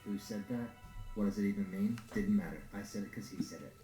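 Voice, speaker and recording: A man voicing a dry, world-weary adult ninja narrating in a rambling, deadpan way in a comedic fan dub. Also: on the low side, quick pace, echoey room, faint music behind, natural voice. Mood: deadpan musing. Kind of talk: comedic voice acting.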